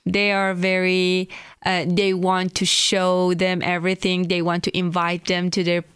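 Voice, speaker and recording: A woman's voice: lowish voice, completely flat delivery, measured pace, a bit bright, smooth, dry recording, studio quality. A young woman from Iranian Kurdistan, a native Kurdish speaker with a Kurdish accent, answering interview questions hesitantly and searching for words. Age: young adult. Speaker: guest.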